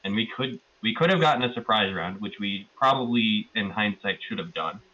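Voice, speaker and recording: Bright, low-voiced man recapping a game. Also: animated, normal speed, lo-fi sound. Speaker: player recapping a tabletop roleplaying session. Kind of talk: casual recap of a tabletop game.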